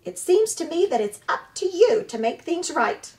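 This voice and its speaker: A woman voicing a bossy old female goose who squawks out rapid, scolding advice in a storybook reading. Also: very high voice, big pitch swings, heavy background noise, voice pushed up, heavily acted.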